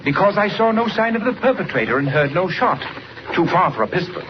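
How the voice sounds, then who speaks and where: Muffled noisy radio drama, fussy self-important photographer, precise, wry, highish animated voice. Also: male voice, fairly quick. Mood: wry, indignant. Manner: pompous, precise, dryly sarcastic. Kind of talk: 1940s radio serial acting.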